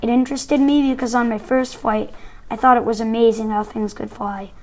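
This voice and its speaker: A woman's voice: fairly high pitch, fairly even intonation, a little fast, a little mellow, natural voice. A young woman playing a proud male astronaut, reading steadily. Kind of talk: school-project role-play reading.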